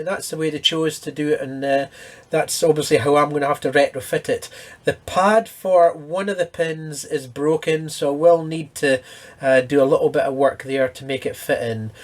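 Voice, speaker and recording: A man hosting a casual hands-on repair walkthrough, explaining each step in a matter-of-fact way. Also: on the high side. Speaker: host of an electronics repair channel.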